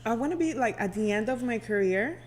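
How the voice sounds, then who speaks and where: A woman's voice: on the high side, lively intonation, brisk. Woman chatting casually, noisy background. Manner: informal, says "like" and "you know" very often. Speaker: radio personality, podcast guest. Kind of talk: casual podcast chat. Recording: clear background noise.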